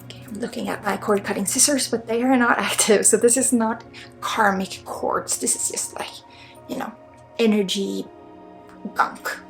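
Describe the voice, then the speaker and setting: Creaky-voiced Swedish woman, half-whispered ASMR. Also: mid-range voice, fairly even intonation. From Sweden. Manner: slips often between soft voice and breathy whisper; casual, blunt, swearing, halting with asides. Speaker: spiritual healer and ASMR host. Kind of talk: ASMR energy healing, intimate guided talk. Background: music.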